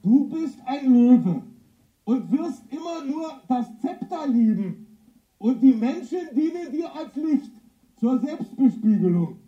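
An actor playing a naive, easily confused prison inmate in a radio crime play, hesitant and puzzled. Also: male voice, very high-pitched, sing-song, slow pace, warm tone, exaggerated voice. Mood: reading aloud haltingly. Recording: muffled, roomy sound.

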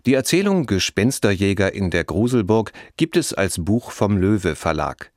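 A man's voice: on the low side, sing-song, moderate pace, no room sound, studio quality. A man reading scripted credits as a broadcast announcer. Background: music.

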